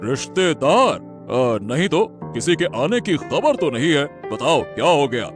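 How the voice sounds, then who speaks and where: A caring, authoritative king of a fairy-tale audio drama, acted by a man. His voice sits fairly high and moves in lively swings, with exclamations and deliberate phrasing. Music plays clearly behind him. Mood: urgent, commanding. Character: concerned, authoritative king reassuring his queen. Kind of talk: Hindi moral-story audio drama acting.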